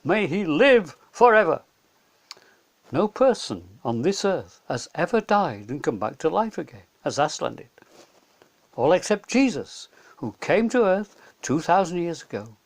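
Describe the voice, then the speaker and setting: A man tells a story for children in a simple, straightforward way. His intonation is animated, moving up and down freely as he narrates. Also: on the high side, normal speed. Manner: simple, clear retelling for children.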